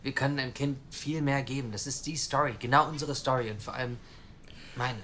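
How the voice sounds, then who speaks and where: A man's voice: medium pitch, steady tone, fairly quick, sharp tone, a little hiss or hum, close to an everyday voice. Casting: actor for earnest, persuasive man wanting a child, mid-range voice, natural radio-play delivery, faint background ambience. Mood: earnest, pleading. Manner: eager, talkative, persuasive. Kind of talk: naturalistic audio drama acting.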